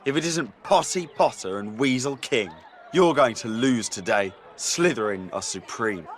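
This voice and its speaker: A man's voice: medium pitch, lively intonation, a little slow, close to an everyday voice. Man as a sneering, taunting teenage bully. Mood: gloating. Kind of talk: video game voice acting.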